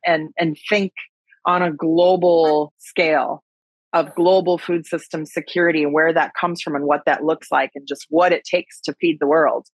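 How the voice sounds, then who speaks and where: A woman's voice: sits low, steady tone, normal speed, dry recording, rough recording. A woman with a Wisconsin accent talking casually and chattily as a guest in an interview. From Wisconsin, USA. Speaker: podcast guest, agriculture advocate and speaker.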